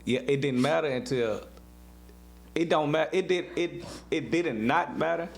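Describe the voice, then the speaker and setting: A man's voice: on the high side, unhurried. A man in his twenties chatting informally as a podcast co-host, playfully arguing his point. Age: 20s. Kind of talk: casual couple banter. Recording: clearly noisy with a hum.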